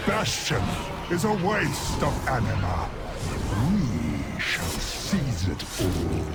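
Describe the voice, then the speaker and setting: An actor playing an arrogant, menacing undead necromancer in a video game, taunting theatrically. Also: male voice, on the low side, big pitch swings, slow pace. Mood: arrogant menace. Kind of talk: video game villain acting. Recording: game dialogue under loud music.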